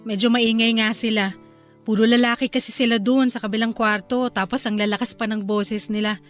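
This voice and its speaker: An actress playing a gentle, caring young newlywed wife in a radio drama, speaking softly and affectionately. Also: female voice, on the high side, steady tone, brisk, faint music behind, natural voice. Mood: mildly bothered. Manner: soft, affectionate and considerate.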